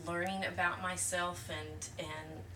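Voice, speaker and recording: A woman counselor telling her story in an interview, earnest and thoughtful, often pausing and restarting. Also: on the low side, flat delivery, crowd noise. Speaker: counselor guest. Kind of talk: reflective podcast interview. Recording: roomy, distant mic with clear background noise.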